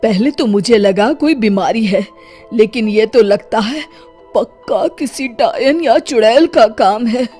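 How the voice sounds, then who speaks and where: A woman's voice: fairly high pitch, measured pace, warm tone, husky, faint music behind. A woman voicing a nosy, concerned village uncle in a folk tale, rustic and full of exclamations. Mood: grave. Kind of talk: dramatized folk-tale dialogue.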